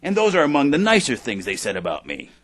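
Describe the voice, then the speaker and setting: An actor playing a pompous, defiant genetic engineer in a satirical audio drama, speaking formally and indignantly. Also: male voice, mid-range voice, huge pitch swings, fast, a bit bright.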